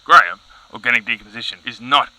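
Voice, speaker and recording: An actor playing a baffled, precise professor interviewed as an energy expert in an audio comedy, academic and incredulous. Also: male voice, mid-range voice, fast, pushed and bright, poor audio. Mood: flustered. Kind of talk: mock news interview, scripted audio comedy.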